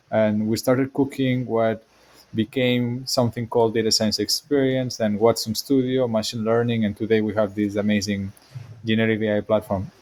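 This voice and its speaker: A man from Spain with a Spanish accent, a guest in a casual podcast chat on a video call, explaining his career in long, relaxed runs. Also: fairly low pitch.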